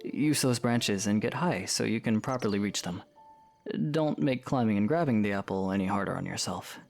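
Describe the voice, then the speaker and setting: A man playing a guarded young swordsman in an audio drama, serious and awkward, muttering and hesitant, with a gravelly, husky voice. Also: on the low side, normal speed, darkish tone. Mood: firm, serious. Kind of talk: audio drama character dialogue.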